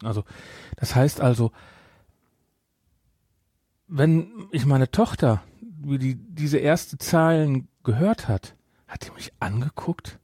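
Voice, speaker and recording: A middle-aged man chats as a podcast host. His voice is dark and husky, recorded very dry. He gives his views casually, repeating points for emphasis. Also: medium pitch, expressive, takes it slowly, long pauses, no echo.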